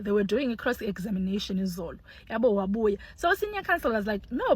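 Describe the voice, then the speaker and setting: Animated South African woman vlogging. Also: a little high, husky. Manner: animated, exclaiming, indignant, mixing languages. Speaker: online commentator. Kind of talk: casual commentary vlog.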